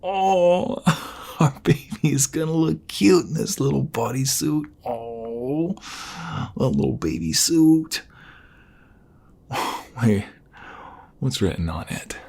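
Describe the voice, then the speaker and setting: A very husky, breathy husband played by a man in an intimate ASMR roleplay, with a gravelly creak and an unhurried pace. He is tender and excitable, halting and repeating himself as emotion takes over. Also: mid-range voice, exaggerated voice. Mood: delighted, giddy. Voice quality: breathy, husky, often dropping to whispers. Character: tender, excitable husband overjoyed at impending fatherhood.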